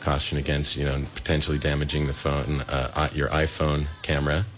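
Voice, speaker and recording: A man hosting a radio talk show, interviewing a guest and explaining things in a measured way with pauses and fillers. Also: a bass voice, level tone. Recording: dull muffled broadcast with faint noise.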